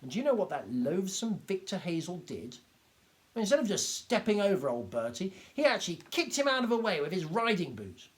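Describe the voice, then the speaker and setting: A man voicing an excitable little village doctor who talks in a high, loud, indignant rush and trips over his words. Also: brisk. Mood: gleeful storytelling. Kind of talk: audiobook character voice.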